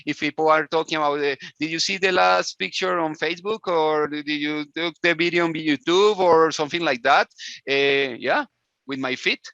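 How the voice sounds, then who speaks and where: A man from Colombia speaking English with a Spanish accent, a guest inventor on a video call interview, halting with many restarts and frequent laughs. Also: fairly high pitch, fairly even intonation, gravelly, bright, forceful tone, dry recording. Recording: dull lo-fi call audio.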